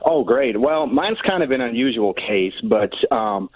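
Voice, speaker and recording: Male phone-in caller, animated pitch, polite and halting, tinny telephone sound. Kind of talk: prank call to a TV call-in show. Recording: tinny narrow phone line.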